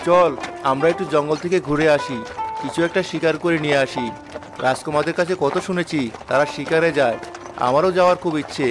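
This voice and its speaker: A man's voice: fairly high pitch, brisk, music in the background, close to an everyday voice. Restless young cartoon prince played by a man. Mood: eager. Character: restless young prince, sulky, craving freedom. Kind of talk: animated fairy-tale dialogue.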